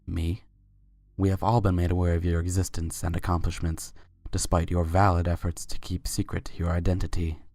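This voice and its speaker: Man playing a suave, low-voiced stallion Sultan. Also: no echo. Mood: earnest, flattering. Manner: formal, flattering, unhurried and suave. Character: suave, courtly winged unicorn Sultan, adult male pony. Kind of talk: fan fiction dramatic reading.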